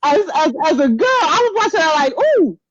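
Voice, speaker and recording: A woman co-hosting a podcast in casual movie chat, playful and animated, often repeating her phrases. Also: high-pitched, fairly quick, dull audio, heavy background noise. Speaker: podcast co-host.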